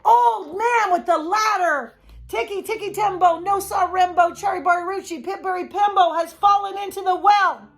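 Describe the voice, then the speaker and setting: A woman voicing a frantic, breathless little boy who shouts and pants as he pleads. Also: very high voice, moderate pace, pitched above the performer's own voice. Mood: shouting. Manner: shouting, panting.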